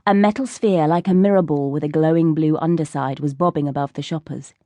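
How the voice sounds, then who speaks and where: A woman's voice: low voice, steady tone, brisk, mellow, dry recording. A woman reading scripted fiction as an audiobook narrator.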